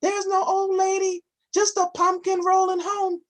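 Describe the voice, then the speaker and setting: A man voicing a sly little old woman in a children's story, speaking in a high, sing-song tone. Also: completely flat delivery, measured pace. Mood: sweetly fibbing.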